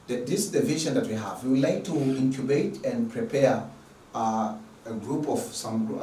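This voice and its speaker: A man with a Tanzanian accent, introducing an online math lecture in an earnest, repetitive way with many halting fillers. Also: mid-range voice, a little mellow. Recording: distant mic in an echoey room with faint noise.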